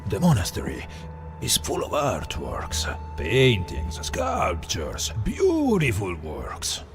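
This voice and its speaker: An actor playing a suave, theatrical mafia kingpin with an Italian accent and a silky, insinuating manner in a game cutscene. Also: male voice, low-pitched, wildly swooping pitch, slow pace, music in the background, exaggerated voice. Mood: smooth, expansive. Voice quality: gravelly, creaky. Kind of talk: dramatic video game cutscene acting.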